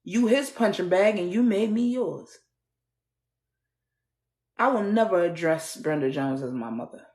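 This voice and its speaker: A woman's voice: on the low side, lively intonation, a little slow, a little mellow, reverberant. A woman from Trinidad who speaks with an American accent, telling her own story in a blunt, candid and raw way, with swearing and wry laughs. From Trinidad and Tobago. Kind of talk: personal testimony to camera.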